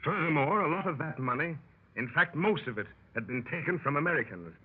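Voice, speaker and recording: Animated, husky 1930s radio adventurer. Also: male voice, on the high side, fairly quick. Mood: eager storytelling. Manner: wry, animated storyteller. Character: plucky gentleman adventurer telling his dangerous exploits. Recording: muffled 1930s radio broadcast.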